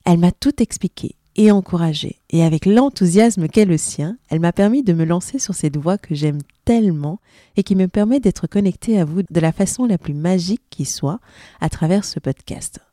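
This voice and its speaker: A woman's voice: on the low side, moderate pace, warm tone, no room sound, studio quality. A woman hosting a podcast, introducing her guest and asking questions with enthusiastic encouragement. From Belgium. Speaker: podcast host. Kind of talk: podcast interview.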